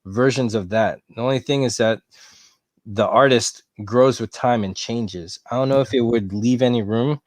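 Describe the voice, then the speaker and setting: A man hosting a podcast in casual chat, excitable and quick to exclaim. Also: mid-range voice, no echo. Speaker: podcast host.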